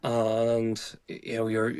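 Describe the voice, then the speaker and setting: Male, 40s, Northern Irish, middle pitch, reflective interviewee with halting conversational delivery. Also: completely flat delivery, a little mellow, smooth. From Northern Ireland. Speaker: podcast guest, retired police officer.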